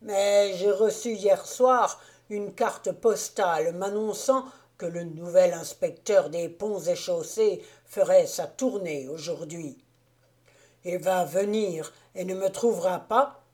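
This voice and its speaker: A woman voicing a hungover, grumbling middle-aged road mender who complains loudly and drags out his words. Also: fairly low pitch. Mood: whining. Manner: loud, drawn-out complaining. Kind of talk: audiobook character voice.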